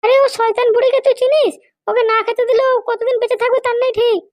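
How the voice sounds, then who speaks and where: A performer voicing a scheming, haughty woman politician in a cartoon comedy, bossy, scolding and fond of sharp questions. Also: extremely high pitch, flat delivery, fast talker, no echo. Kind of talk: amateur cartoon comedy dialogue.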